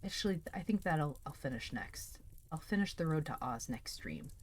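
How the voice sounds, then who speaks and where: Casting: female livestream storytime host, lowish voice, calm and chatty, casual delivery. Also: moderate pace, husky. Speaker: livestream host reading stories aloud.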